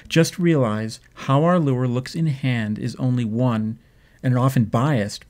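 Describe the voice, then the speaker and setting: A man in his fifties, hosting a fishing video, explains his tackle choices in a measured, thoughtful way with frequent ums and uhs, filmed indoors at a desk. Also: on the low side, darkish tone. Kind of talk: instructional fishing talk. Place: indoor home office.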